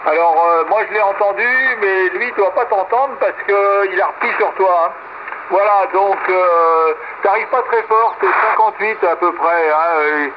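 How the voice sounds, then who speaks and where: Ham radio guy chatting, high-ish voice, crackly shortwave sound, lots of hiss. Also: highs cut off. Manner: rambling, many fillers and restarts. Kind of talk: casual radio chat. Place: home radio station.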